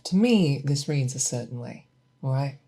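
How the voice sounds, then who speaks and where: A woman playing a seasoned, patient monster hunter who teaches an apprentice in a soft, deliberate, questioning way, in a close-miked ASMR roleplay. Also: a bass voice, animated, husky, exaggerated voice. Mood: explanatory, musing. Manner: deliberate, instructive, questioning, thoughtful pauses. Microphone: close binaural microphone.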